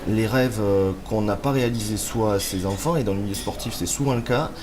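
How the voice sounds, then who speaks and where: Low-voiced man talking slowly, full of pauses. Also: noisy background. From France. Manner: thoughtful, hesitant, many fillers. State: slightly congested with a cold. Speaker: author guest. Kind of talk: relaxed interview conversation.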